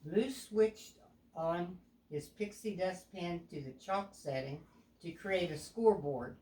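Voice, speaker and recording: Older woman, storytelling to a child, unhurried pace, lowish voice, explanatory asides, echoey room. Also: darkish tone. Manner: deliberate, explaining, with stumbles over words. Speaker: grandmother reading a picture book to a child. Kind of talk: read-aloud storytelling, pausing for asides to a child. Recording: echoey room with background noise.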